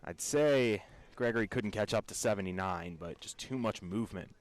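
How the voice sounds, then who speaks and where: A man with an American accent calling baseball play-by-play for radio in a measured, descriptive storytelling way. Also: fairly low pitch. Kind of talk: radio sports commentary.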